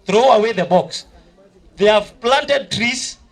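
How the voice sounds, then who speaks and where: A man's voice: high voice, slow pace. A man with a Kenyan accent announcing as master of ceremonies at a live awards event, exhorting and repeating himself. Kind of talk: live event announcing, switching between reading and hyping up. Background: music.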